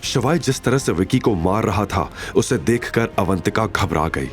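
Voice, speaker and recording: Male narrator, lowish pitch, animated dramatic storytelling for an audio series, music bed. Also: moderate pace.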